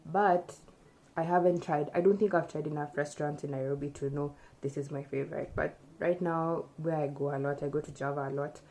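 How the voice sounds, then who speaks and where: Young woman from Kenya, casual vlog chat, low and warm, thinks aloud, camera mic in a small room. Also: fairly quick, low-quality recording. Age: young adult. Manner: relaxed, chatty, thinks aloud with fillers. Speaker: vlogger. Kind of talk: casual chat, answering tag questions. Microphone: camera in front at close range.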